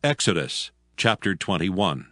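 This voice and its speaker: A man's voice: low-pitched, animated, unhurried, a bit bright. A man narrating scripture as a scripted dramatic reading. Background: music.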